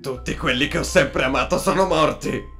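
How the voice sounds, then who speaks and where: A man's voice: a little high, normal speed, fairly bright tone. A man voicing a despairing, melodramatic animatronic in a cartoon fan dub, with music behind. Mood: anguished. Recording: music over the voice.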